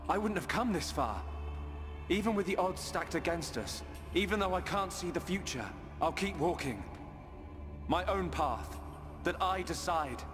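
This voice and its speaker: A young video game hero, earnest and resolute. His fairly high voice comes slowly and haltingly, carried on a long reverb with music behind. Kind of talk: scripted video game cutscene acting.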